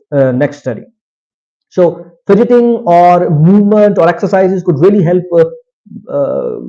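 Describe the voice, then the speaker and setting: Pakistani male presenter, Potohari and Urdu native, higher-pitched mellow voice, halting informal lecture delivery, dull recording. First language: Potohari Punjabi and Urdu. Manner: halting, hesitant second-language English with self-corrections. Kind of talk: informal lecture, partly reading research aloud.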